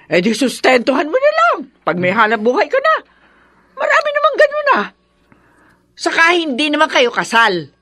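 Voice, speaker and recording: A woman's voice: sits high, wildly swooping pitch, moderate pace, sharp tone, heavily acted. A woman acting a spiteful, scolding older mother in a Filipino radio drama, sarcastic and nagging with a Filipino accent. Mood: nagging, scheming.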